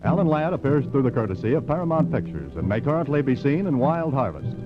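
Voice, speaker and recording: A man's voice: mid-range voice, very animated, fast. A man reading scripted programme credits as a 1940s radio announcer. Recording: dull old radio recording, loud music behind.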